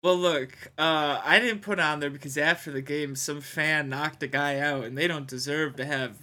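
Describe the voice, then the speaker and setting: A man's voice: a little high, fairly even intonation, moderate pace, sharp tone. A man co-hosting a podcast, chatting casually about sports. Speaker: podcast co-host.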